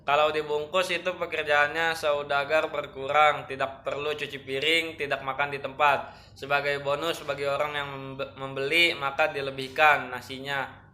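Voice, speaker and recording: A young man from Indonesia, a high school student in his late teens, reading a school report aloud in a stiff, recited way. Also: flat delivery, normal speed, bright, forceful tone, slight background noise. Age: late teens, young adult.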